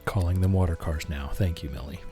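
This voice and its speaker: A man with a very low, dark and relaxed voice hosts a cozy game stream. He talks gently to his viewers in a calm, soothing, friendly way, with soft music playing faintly behind him. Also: fast talker. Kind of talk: calm, soothing livestream chat over gameplay.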